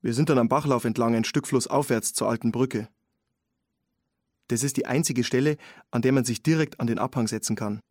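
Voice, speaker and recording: An actor playing a sober, observant man in a radio play, giving a detailed account in clipped, measured phrases. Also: medium pitch, a little mellow, no room sound, studio quality, close to an everyday voice. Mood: calm recollection. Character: man recounting finding a drowned woman, sober and observant. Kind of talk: radio play testimony narration.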